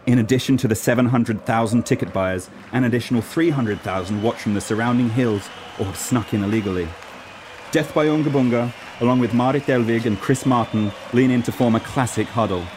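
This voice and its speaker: A man's voice: medium pitch, fairly quick. A man reading scripted stage directions as the narrator of a radio play. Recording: clear background noise.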